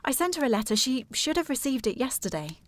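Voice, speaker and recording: An actress playing a formal, earnest and insistent gentlewoman, anxious about family, in a period audio drama. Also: sits high, big pitch swings, fast talker, dry recording. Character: proper, anxious, insistent gentlewoman seeking her sister.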